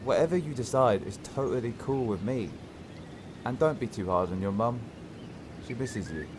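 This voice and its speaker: A man's voice: mid-range voice, animated, normal speed, noisy background, lightly acted. An actor playing a teasing, wistful young countryside man, blunt and informal, in an audio play. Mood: wistful, dry. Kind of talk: naturalistic audio play dialogue.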